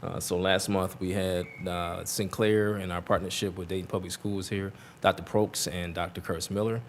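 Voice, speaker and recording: A man's voice: deep voice, little pitch movement, moderate pace, a little hiss or hum. Upbeat man making board meeting announcements. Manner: upbeat, chatty, many fillers. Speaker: district official at board meeting. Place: meeting hall.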